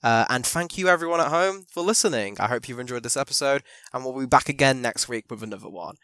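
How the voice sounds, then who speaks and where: A man hosting an interview podcast. He is chatty and upbeat, laughs easily and fills his sentences with ums and likes. His voice sits at an ordinary pitch and sounds dry and close, with no room echo. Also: animated, fast, pushed and bright. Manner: chatty, laughs often, many fillers. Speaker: podcast host. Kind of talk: casual interview chat.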